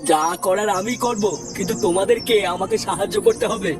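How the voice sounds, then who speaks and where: A man's voice: high-pitched, fairly quick, recorded from a distance, loud music behind the voice, lightly acted. A man voicing a stern village pundit and ghost-banisher in a comedy cartoon, speaking in a solemn, commanding, declamatory way. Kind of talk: dramatic comic cartoon dialogue.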